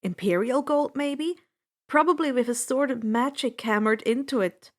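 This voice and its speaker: A woman reading a first-person adventure story aloud as an expressive, dramatic narrator. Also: high voice, moderate pace. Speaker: audiobook-style fan fiction reader.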